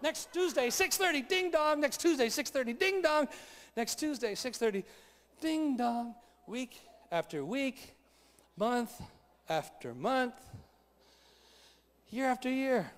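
A man with a strikingly high voice tells a comic story from the stage, animated and playful, adding vocal sound effects along the way. He sounds like a preacher at a live conference. His voice rings with the long reverb of a large hall. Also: slow pace, long pauses.

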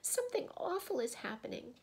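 A frightened storybook girl, acted by a woman reader, raised in pitch and husky with breath. She is timid and questioning, with a hesitant, shaky delivery. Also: sing-song, fairly quick, darkish tone, full character voice, rough recording. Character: timid, anxious older girl, fearful and questioning.

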